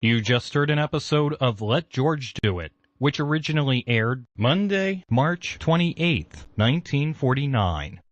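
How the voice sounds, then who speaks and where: A man's voice: fairly high pitch, dry recording. A man reading scripted program announcements as a radio host.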